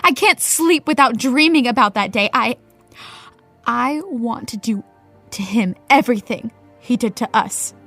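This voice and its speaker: A woman's voice: on the high side, lively intonation, measured pace, fairly bright tone, soft background music, exaggerated voice. A woman playing an eager, nervous aspiring actress in an audio drama, earnest and prone to stammering. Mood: intense, impassioned. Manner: hesitant, stammering.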